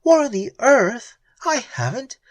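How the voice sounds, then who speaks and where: A reader doing a fierce young woman pirate captain, higher and louder than the narration, with a lively swinging pitch. She speaks in a blazing, defiant, sarcastic manner, in a husky storybook voice. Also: a little slow, no echo. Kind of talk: audiobook dialogue.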